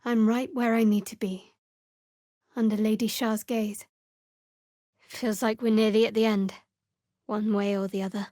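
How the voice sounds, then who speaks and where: A woman playing a guarded, sardonic young woman cleric of a dark goddess in a video game, with a dry manner. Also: mid-range voice, flat delivery, unhurried, pauses often, no room sound, natural voice. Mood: measured, wry. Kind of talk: video game character voice acting.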